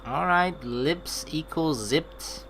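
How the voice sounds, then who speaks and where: A man doing game commentary as a let's player, reading narration and lines aloud in an animated, rambling, informal way. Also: fairly high pitch, slow, faint music behind.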